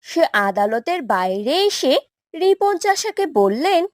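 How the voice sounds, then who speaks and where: A woman's voice: high voice, sing-song, unhurried, no echo, studio quality. A woman narrating a cartoon moral story in a scripted storybook style.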